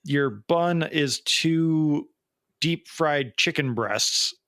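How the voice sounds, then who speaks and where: A man's voice: on the high side, very slow, fairly bright tone, dry recording, studio quality. A man in his late thirties with an American Midwestern accent, co-hosting a podcast in casual chat with sardonic jokes. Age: late 30s. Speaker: podcast co-host.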